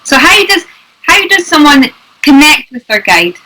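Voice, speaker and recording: A woman's voice: sits high, sing-song, moderate pace, bright, forceful tone, rough recording. A woman co-hosting a casual chat, upbeat, speaking straight to viewers and asking questions. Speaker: co-host.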